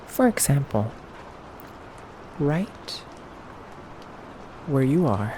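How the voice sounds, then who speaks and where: A man playing a haughty, teasing pure-blood vampire interrogating a human in an intimate ASMR roleplay, with condescending politeness and hesitant pauses. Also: medium pitch, big pitch swings, warm tone, a little hiss or hum. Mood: explaining, measured.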